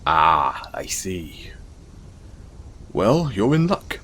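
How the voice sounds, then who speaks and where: Low-voiced, slow, gentle centaur, acted by a man. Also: sing-song, a little hiss or hum, exaggerated voice. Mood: caring, soft-spoken. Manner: soothing, apologetic, hesitant, doting. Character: gentle, caring, self-conscious pacifist male centaur. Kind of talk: intimate audio roleplay.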